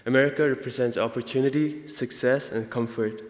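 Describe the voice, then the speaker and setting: A young man with an Indian accent gives an earnest prepared student speech, halting now and then to correct himself. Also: medium pitch, steady tone. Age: young adult. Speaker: student speaker. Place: large indoor hall, convocation. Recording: echoey hall with long reverb.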